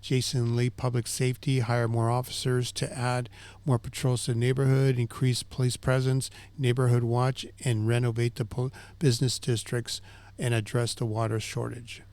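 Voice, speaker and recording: A man's voice: medium pitch, level tone, normal speed, darkish tone. A man hosting a community recap, speaking from notes in a semi-scripted way and stumbling now and then over names and words. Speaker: host of a community recap show.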